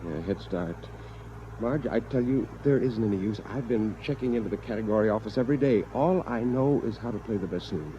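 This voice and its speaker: An American man playing a frustrated, out-of-work bassoonist husband who also narrates, in a theatrical 1950s radio drama style. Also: brisk, mellow, a little hiss or hum, close to an everyday voice. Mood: gloomy. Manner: exasperated, indignant, theatrical. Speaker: lead actor and first-person narrator. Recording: muffled 1950s radio broadcast, music behind.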